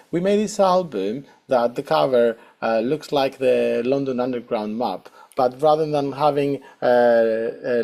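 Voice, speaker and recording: A man's voice: medium pitch, dark tone. A middle-aged man with a Greek accent, answering interview questions hesitantly with many fillers and restarts. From Greece. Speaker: guest saxophonist.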